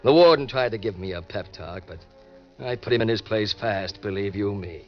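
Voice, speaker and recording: An American actor playing a hard-boiled, cocky ex-convict obsessed with money in an old-time radio crime drama, talking in tough slang. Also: male voice, fairly low pitch, normal speed, lightly acted. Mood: boastful. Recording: dull vintage radio recording with music.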